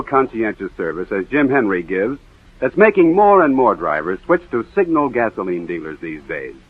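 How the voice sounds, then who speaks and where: A man's voice: medium pitch, husky. A man with an American accent reading a sponsor's commercial as a 1940s radio announcer. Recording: muffled vintage radio recording.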